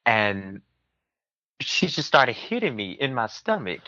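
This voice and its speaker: A man's voice: mid-range voice, slow, no room sound, lo-fi sound. A man telling personal stories casually and animatedly as a podcast guest. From southern United States. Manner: animated, acts out quoted speech.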